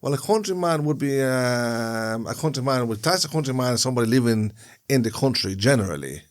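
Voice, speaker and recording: A man's voice: medium pitch, lively intonation, fairly quick. A man co-hosting a casual podcast chat in a room, rambling and restarting his phrases as he explains things. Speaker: podcast co-host. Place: room, indoors.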